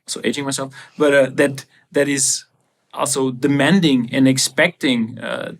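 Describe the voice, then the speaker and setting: A man's voice: mid-range voice, steady tone, measured pace. A man hosting a podcast interview, asking questions hesitantly and searching for words. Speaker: podcast host. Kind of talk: interview conversation.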